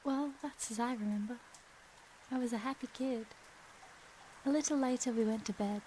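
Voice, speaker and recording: A woman's voice: fairly high pitch, moderate pace, warm tone, a little hiss or hum, lightly acted, poor audio. A woman acting in a radio play as a wistful young narrator recalling her childhood. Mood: wistful, ominous.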